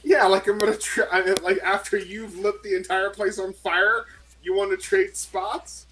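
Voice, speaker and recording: Give me a sarcastic, reluctant man playing a tabletop game, voice a bit high. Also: level tone, moderate pace, a bit bright, breathy edge, rough recording. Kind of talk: in-character and table banter.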